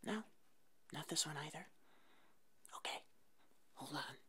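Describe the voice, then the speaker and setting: A woman doing an ASMR roleplay as an easygoing puppet hairdresser, speaking in a soft, breathy whisper with short, reassuring phrases. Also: low voice, lively intonation, measured pace, background noise. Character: fuzzy monster puppet hairdresser, attentive and easygoing.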